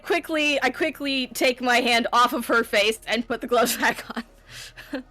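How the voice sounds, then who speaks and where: A woman's voice: a little high, unhurried, pushed and bright, no room sound, soft background music. A woman chatting casually as a tabletop game player, describing how her character reacts.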